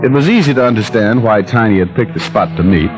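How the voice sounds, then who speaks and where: An actor playing a wry, world-weary American private detective in a 1940s radio drama, dry and sardonic. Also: male voice, on the low side, animated, quick pace, lightly acted. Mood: narrating wryly. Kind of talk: 1940s radio drama acting with hard-boiled narration. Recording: muffled old-time radio recording, loud music behind.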